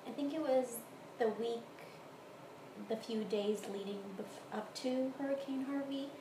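A woman's voice: on the high side, a little slow. A woman with an American accent casually answering interview questions about her own experiences in a room at home. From Houston area, Texas, United States. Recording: roomy echo, clear background noise.

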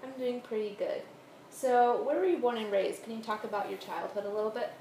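A woman's voice: on the high side, brisk, a little mellow. Woman interviewer in an echoey room. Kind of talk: prepared oral history interview questions. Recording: roomy echo, clear background noise.

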